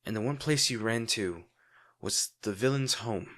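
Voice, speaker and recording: A man playing a crime-boss villain in an intimate audio roleplay, secretly tender and worried, speaking sardonically with dramatic pauses. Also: on the low side, fairly bright tone. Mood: quiet realisation.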